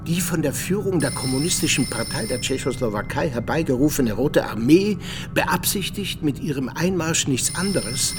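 A man's voice: a little high, lightly acted. An actor playing a grumpy middle-aged exile in a radio play, irritated and scornful as he talks. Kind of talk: German radio play dialogue.

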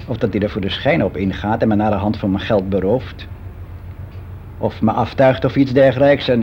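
A man's voice: lowish voice, slight background noise, lightly acted. An actor playing a fussy middle-aged man who confides in a hesitant, matter-of-fact, conversational way, as in a radio play monologue. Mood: confiding. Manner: hesitant, matter-of-fact, self-justifying, as if answering an interviewer. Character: frank, fussy middle-aged man confiding about his private life. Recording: dull lo-fi radio recording.

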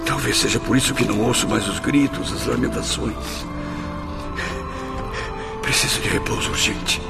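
Gravelly, anguished man in radio drama. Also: mid-range voice, moderate pace. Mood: anguished, exhausted. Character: anguished, exhausted male suicide soul, irritable and proud. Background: music.

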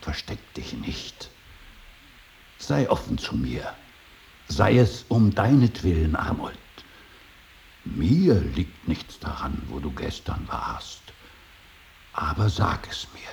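An actor playing a stern, solemn middle-aged father in a radio drama, insistent and halting in his speech. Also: very deep voice, very animated, vocal fry, full character voice. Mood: grave, probing. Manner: solemn, insistent, halting, swinging from hushed to forceful. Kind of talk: 1980s German radio drama acting. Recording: faint tape hiss.

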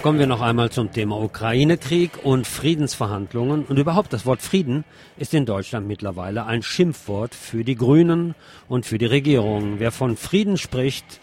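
A man's voice: mid-range voice, a little mellow. A man presenting on the radio, reading scripted news and adding commentary.

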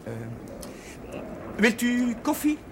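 A man playing a warm, talkative and idealistic young village chaplain in a television drama, hesitant and musing but easily worked up. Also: very high-pitched, takes it slowly, heavily acted. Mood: hospitable. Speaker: actor in a television drama series. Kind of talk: naturalistic television drama dialogue. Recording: noisy old TV soundtrack, clattering dishes.

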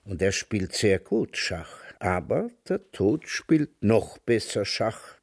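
A slow, sardonic old intellectual. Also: male voice, lowish voice, big pitch swings, creaky, no room sound, studio quality, heavily acted. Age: older. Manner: deliberate, ironic, literate. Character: sardonic, melancholy old coffeehouse intellectual, widowed and lonely. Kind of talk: radio drama acting.

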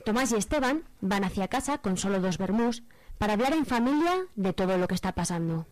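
A woman's voice: mid-range voice, expressive, brisk, a little mellow, slight background noise. A woman with a Spanish accent giving scripted narration as the narrator of a radio soap.

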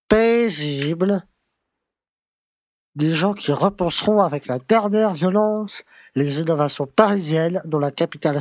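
Man playing a pompous mayor, highish, dry sound. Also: no echo. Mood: sceptical, pompous. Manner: pompous, lecturing. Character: pompous conservative small-town mayor, scolding, grumbling, sceptical. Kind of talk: French audio drama acting.

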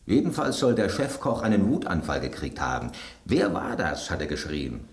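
An actor playing an inquisitive, brusque piece of bread in a German radio drama. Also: male voice, deep voice, very animated, normal speed, echoey room. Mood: excited gossip. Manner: inquisitive, brusque, rhetorical questioning. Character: bread on a restaurant table, gruff gossipy male detective type.

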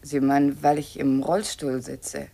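An actress in a 1950s German radio play, playing a doctor's embittered, hysterical wife in a wheelchair, halting and suspicious in manner. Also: female voice, extremely low pitch, little pitch movement, gravelly, mellow, full character voice. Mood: cold, suspicious. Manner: halting, suspicious, prone to frantic outbursts.

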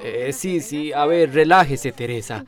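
A man's voice: a little high, a little slow, soft background music, natural voice. An actor playing a nervous, hesitant man delivering a baby in a radio soap, stammering with many false starts.

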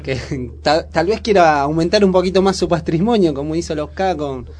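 Argentine radio guy, buenos aires accent, kinda high voice, casual chat, asks questions. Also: normal speed, darkish tone. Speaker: radio show host. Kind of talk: casual radio chat about current affairs.

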